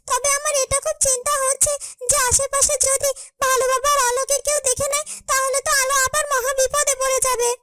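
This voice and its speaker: A woman voicing a small, anxious companion in a fairytale cartoon, fretting and asking insistent questions. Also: very high voice. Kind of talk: dramatic fairytale cartoon dubbing.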